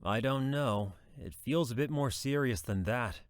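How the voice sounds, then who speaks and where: A man's voice: mid-range voice, measured pace, dry recording, natural voice. A man acting as an earnest, timid police deputy in an audio drama, hesitant and apologetic. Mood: worried, pleading.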